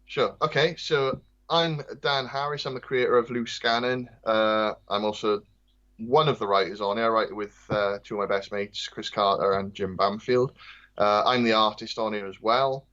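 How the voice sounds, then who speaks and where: Soft-spoken Welsh man, lo-fi line. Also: on the low side, steady tone, moderate pace. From Wales. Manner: soft, light, lyrical and self-deprecating. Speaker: guest, comic artist. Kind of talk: casual chat.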